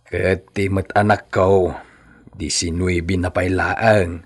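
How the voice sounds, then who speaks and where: An actor with a Filipino Ilocano accent playing a cautious, deliberate father of a grown daughter in a radio drama. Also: sits low, level tone, husky, full character voice. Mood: cautious, hesitant.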